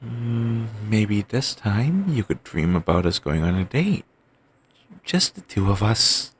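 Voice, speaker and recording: A young man voicing an obsessive, doting boyfriend in an ASMR audio roleplay, murmuring soft, soothing, controlling reassurances. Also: sing-song, slow pace, slight background noise. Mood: tender. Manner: coaxing, endlessly affectionate, quietly controlling. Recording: home mic, low-quality.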